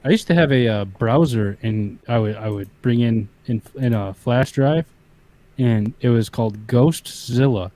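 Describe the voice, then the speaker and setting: A man's voice: mid-range voice, a little slow, mellow. A man appearing as a guest on a casual livestream, chatting in a laid-back way.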